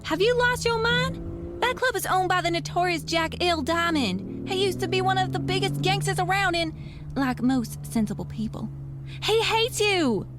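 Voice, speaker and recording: An indignant sweetheart scolds in an extremely high voice. She is sharp-tongued and theatrical, in comic radio-play fashion, over clearly audible car noise. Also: animated, normal speed. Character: Sheila, shrill female nightclub-going sweetheart, indignant, sharp-tongued. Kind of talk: comic radio drama acting.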